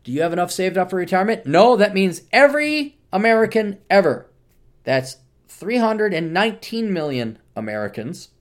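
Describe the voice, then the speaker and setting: A man's voice: sits high, very animated, moderate pace. A man hosting a solo podcast, ranting sardonically and with plenty of profanity while he plugs books. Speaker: podcast host.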